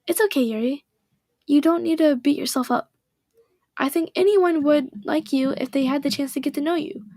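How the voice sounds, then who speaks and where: A woman voicing a gentle, reassuring club president girl. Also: sits high, moderate pace, no echo, clean studio sound. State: slightly hoarse. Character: poised, caring teen girl club president.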